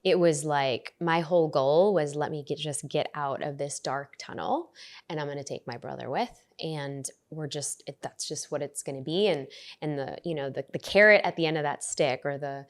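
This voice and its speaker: A woman's voice: sits low, moderate pace. A woman guest on a podcast, talking earnestly and reflectively about her past, with frequent restarts. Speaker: podcast guest, resilience coach. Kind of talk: conversational interview.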